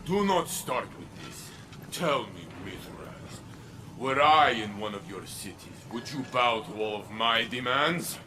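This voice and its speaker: Man as a slow, weary, proud city defender. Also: medium pitch, expressive, noisy background, natural voice. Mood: stern. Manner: grave, deliberate, indignant. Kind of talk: dramatic video game dialogue.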